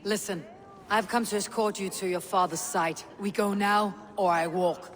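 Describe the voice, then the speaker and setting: A woman's voice: medium pitch, steady tone, moderate pace, natural voice. An actress plays a hardened Viking warrior woman in a video game. She is blunt, impatient and commanding, and her voice rings with long reverb.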